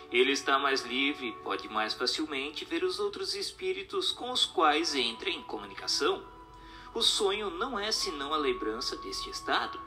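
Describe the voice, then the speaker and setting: A man's voice: mid-range voice, sharp tone. A man reading a scripted text as narrator in a formal, didactic manner. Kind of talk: scripted audiobook-style narration.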